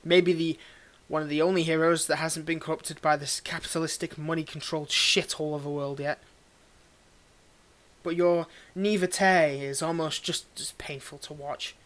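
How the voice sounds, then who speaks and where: A man acts out a domineering villain in an intimate audio drama, his voice pitched a little above the usual male range. He is cold and condescending with a secretly fond streak, delivering his monologue theatrically, as if right up against one listener. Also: steady tone, normal speed, lightly acted. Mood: scornful, intense. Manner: condescending, theatrical, swinging between ranting and hushed murmurs. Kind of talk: scripted audio roleplay monologue, intimate address.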